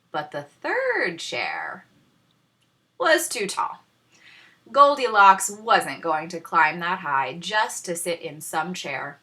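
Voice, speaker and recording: A woman teacher narrating a children's storybook in a dramatic, tongue-in-cheek way with pointed pauses. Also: fairly low pitch, pushed and bright. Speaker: teacher reading aloud.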